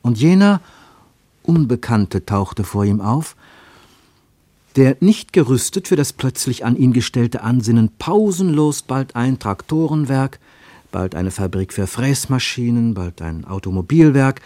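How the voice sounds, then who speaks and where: A man narrating a literary radio play with measured, deliberate phrasing. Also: medium pitch, expressive, dark tone, no echo.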